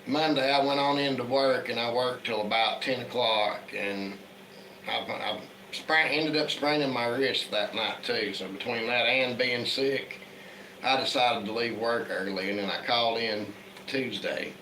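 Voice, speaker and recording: Hoarse man chatting in an echoey room. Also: medium pitch, a little slow. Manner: relaxed, chatty, greets viewers by name. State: hoarse from a cold. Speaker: livestream host. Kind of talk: casual livestream chat. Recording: echoey room with faint background noise.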